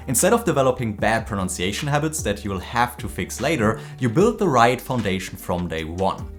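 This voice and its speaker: Lively German man hosting a video. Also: mid-range voice. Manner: lively, animated explaining. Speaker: language learning host. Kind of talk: scripted video essay.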